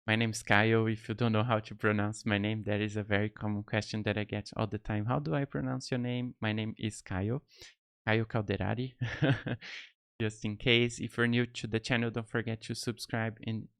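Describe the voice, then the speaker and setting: A man's voice: fairly low pitch, level tone, moderate pace, clean tone. A man in his thirties from Brazil with a Brazilian Portuguese accent, talking casually to camera as a tutorial host, friendly and rambling with lots of fillers, recorded in a small home studio on a close mic. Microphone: close studio mic on boom arm.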